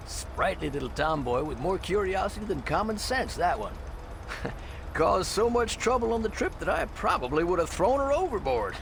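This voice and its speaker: Lively, creaky-voiced roguish ferry captain. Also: male voice, a little high, noisy background. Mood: fondly amused. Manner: folksy, sly, theatrical sales patter. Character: roguish, salty old ferryboat captain, folksy salesman. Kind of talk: video game character dialogue.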